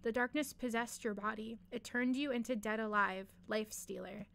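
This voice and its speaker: A woman's voice: a little high, steady tone, normal speed, creaky, fairly bright tone. A woman voicing an earnest, defiant young apprentice sorceress in a fantasy story, speaking emphatically. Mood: soft.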